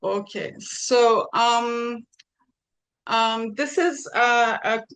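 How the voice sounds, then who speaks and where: A woman presenting a tool over a video call, hesitant and filling pauses with ums and apologies. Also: fairly high pitch, fairly bright tone. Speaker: conference presenter. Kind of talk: live online tech demo.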